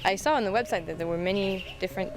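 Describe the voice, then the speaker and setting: A woman's voice: medium pitch, rapid-fire. A woman from Quebec, Canada, a volunteer, speaking enthusiastically.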